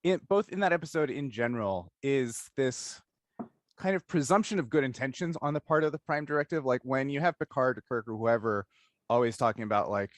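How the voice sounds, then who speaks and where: A man's voice: mid-range voice, brisk, dry recording. Man interviewing in a dry, close recording. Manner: thinks aloud in long, analytical stretches, checking with "right?". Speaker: interviewer, podcast host. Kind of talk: casual discussion interview.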